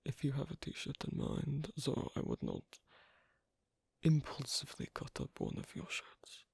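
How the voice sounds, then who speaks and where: Asocial werewolf played by an ASMR performer, breathy husky half-whisper, slow hesitant delivery, low-key and reticent, intimate roleplay. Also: wildly swooping pitch, dry recording, full character voice. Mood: quietly explaining. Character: asocial, reticent young werewolf on a sewing date.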